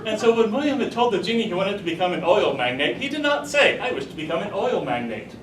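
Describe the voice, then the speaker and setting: A man giving a humorous tall-tale speech to a live audience, deliberately, pausing for punchlines. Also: very animated. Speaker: storyteller at a speech contest. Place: room with a live audience, recorded from a distance. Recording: roomy, distant mic, rumbling noise.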